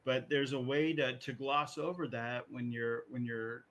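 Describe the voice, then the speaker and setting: A man co-hosting a podcast, chatting casually and working through his points in a halting, rambling way with repeated phrases. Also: mid-range voice, steady tone, a bit bright. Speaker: podcast co-host. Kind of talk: casual chat about video game movies.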